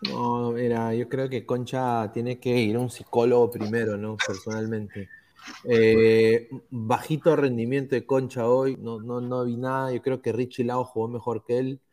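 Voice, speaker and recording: A man's voice: mid-range voice, level tone, unhurried, darkish tone. A Peruvian man hosting a football podcast, chatting casually with lots of fillers and restarts. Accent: Peruvian Spanish. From Peru. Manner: chatty, many fillers and restarts, reads sponsor plugs. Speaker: podcast host.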